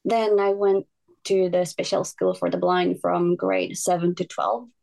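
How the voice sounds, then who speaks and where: A woman's voice: on the low side, little pitch movement, measured pace, gravelly, dark tone, rough recording. A woman in her twenties from Japan, speaking English with a Japanese accent as a podcast guest, hesitant and searching for words. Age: 20s. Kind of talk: casual podcast interview.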